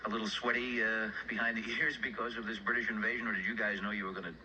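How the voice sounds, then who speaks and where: Deep-voiced New radio host, noisy tape. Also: male voice, little pitch movement, fast, sharp tone. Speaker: radio host interviewing musicians. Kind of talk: radio interview and record introductions. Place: radio studio.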